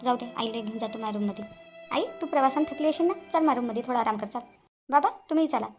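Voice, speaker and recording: A woman's voice: on the high side, very fast, music in the background, natural voice. A woman voicing an earnest, easily hurt young daughter-in-law in a cartoon family drama, with a Varhadi Marathi accent. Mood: caring. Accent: Varhadi (Vidarbha) Marathi.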